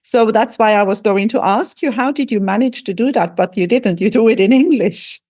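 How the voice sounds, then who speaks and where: A woman's voice: a little high, moderate pace, a little mellow. German-native woman hosting a podcast. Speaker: podcast host. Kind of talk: podcast interview.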